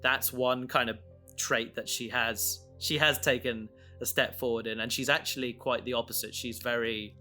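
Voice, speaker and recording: A man in a casual podcast discussion, explaining his creative work in a halting way, thinking aloud. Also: mid-range voice, fairly even intonation, sharp tone, faint music behind. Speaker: audio drama creator.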